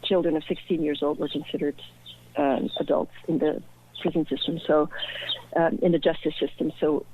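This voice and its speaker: Earnest woman on a tinny phone line. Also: low voice. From Belgium. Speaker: guest, author. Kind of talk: personal interview.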